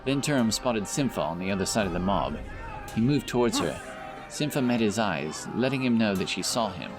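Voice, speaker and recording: A man's voice: fairly low pitch, lively intonation, fairly quick. A man narrating a scripted fantasy audiobook as storyteller. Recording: narration over loud background music. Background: music, outdoor sound effects.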